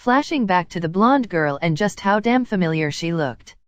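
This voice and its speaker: A woman's voice: on the low side, expressive, normal speed, dry recording, lo-fi sound. A woman narrating a piece of fan fiction as a scripted audiobook-style reading.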